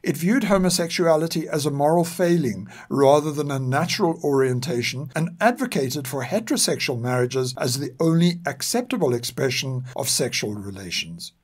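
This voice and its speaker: An older man reads scripted historical narration in a measured, formal and serious way. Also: on the high side, lively intonation, breathy edge. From South Africa. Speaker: narrator and podcast author.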